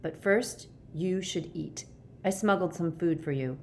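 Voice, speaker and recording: A woman's voice: lowish voice, a little slow. Woman voicing an earnest, steadfast boy, noise behind. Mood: gentle. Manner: earnest, reassuring, full of conviction. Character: kind, steadfast boy reassuring his frightened friend.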